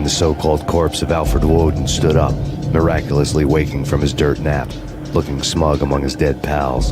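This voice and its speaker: An actor playing a hard-boiled, world-weary vigilante who narrates his story in a deadpan, sardonic noir style. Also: a bass voice. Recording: music behind the voice.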